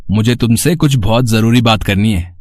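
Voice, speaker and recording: A man's voice: on the low side, moderate pace, natural voice. A man voicing a young adult son, informal and affectionately exasperated with his mother, in a story. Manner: informal, gently protesting. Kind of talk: dramatized Hindi moral story.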